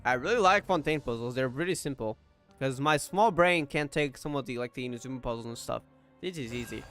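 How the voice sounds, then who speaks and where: Low-key man doing game commentary. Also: lively intonation, brisk, fairly bright tone. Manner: thinks aloud, low-key, self-deprecating. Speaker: gaming streamer. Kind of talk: casual playthrough commentary. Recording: game music behind the voice.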